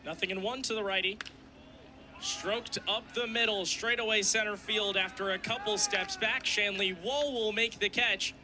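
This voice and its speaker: A man's voice: high-pitched, fairly even intonation, moderate pace, noisy background. A young man doing radio play-by-play of a live game in clipped, descriptive phrases. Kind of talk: live sports commentary.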